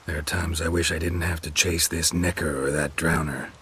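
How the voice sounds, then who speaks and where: A man voicing a weary, gruff monster hunter in a video game, with a gravelly voice and a terse, deadpan manner. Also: low-pitched, normal speed, a little hiss or hum. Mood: dry, weary. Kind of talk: video game dialogue acting.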